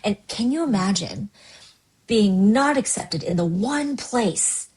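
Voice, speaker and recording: A woman's voice: mid-range voice, slow, husky, low-quality recording. A woman pastor with Cantonese as her first language, talking earnestly in a candid podcast conversation, often restarting her sentences. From United States, Chinese immigrant family. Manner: earnest, animated, many restarts. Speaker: pastor, guest.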